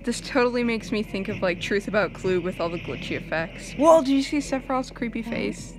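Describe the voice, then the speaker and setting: A young woman giving casual, excitable live reaction commentary on game cutscenes, full of exclamations. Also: fairly high pitch, fairly quick, background noise. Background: game cutscene music.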